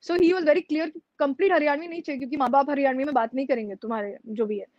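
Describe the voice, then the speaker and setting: Young woman from mumbai, high voice, chatty, dry kinda dull sound. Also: fast talker, a little mellow, no echo. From India, Mumbai. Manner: chatty, mixes English into Hindi, many fillers. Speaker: actress being interviewed. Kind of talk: casual interview anecdote.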